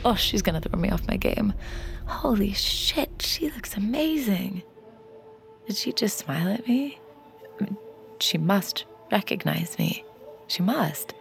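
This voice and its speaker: An actress playing an anxious, smitten poker player with a secret gift, voicing her inner thoughts in breathy, self-scolding asides in an audio drama. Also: mid-range voice, animated, measured pace, faint music behind. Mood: flustered, smitten. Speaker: lead character in a fiction podcast. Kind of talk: intimate inner-monologue audio drama acting.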